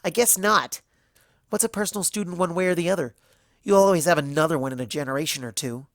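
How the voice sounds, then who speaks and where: A narrator voicing an indignant young female unicorn student in a story, sardonic and accusing, with sharp, cutting questions. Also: medium pitch, fast talker, dry recording, clean studio sound, voice pushed up, natural voice. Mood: bitter, hurt.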